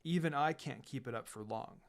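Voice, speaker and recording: A man voicing a calm, firm, encouraging adult ninja teacher with a measured, instructive delivery in a story reading. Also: mid-range voice, voice pushed down, lightly acted. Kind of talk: fan fiction reading with character voices. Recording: music behind the voice.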